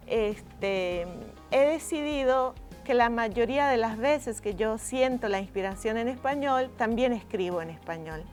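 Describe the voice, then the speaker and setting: A middle-aged woman from Italy, a native Italian speaker, speaks as a writer being interviewed. Her voice sits at an average pitch, and she talks reflectively and expressively, pausing to find the right word. Music is present in the recording. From Venice, Italy.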